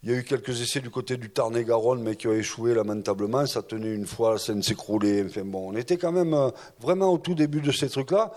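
A man who organized a festival, recalling its early years in a halting, hesitant way before a gathering. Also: mid-range voice, steady tone, a little mellow. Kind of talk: recollections before an audience.

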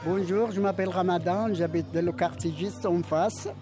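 A man's voice: high voice, steady tone, normal speed. A man from Morocco, chatting eagerly in broken phrases at an outdoor neighbourhood party. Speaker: long-time resident. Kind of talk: casual interview chat. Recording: outdoor party with music and crowd.

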